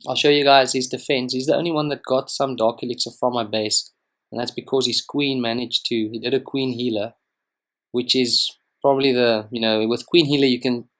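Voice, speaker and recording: A young man whose first language is Afrikaans gives relaxed, rambling commentary over strategy game footage, with frequent ums and restarts. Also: medium pitch. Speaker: gaming channel host. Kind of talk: casual gameplay commentary.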